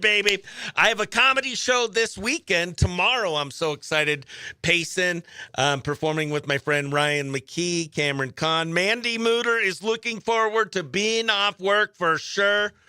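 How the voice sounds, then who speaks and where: A man hosting a morning radio show with casual live chat, talking in an excitable, slangy, exclamatory way. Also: sits high, smooth, no room sound, studio quality. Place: dry radio studio.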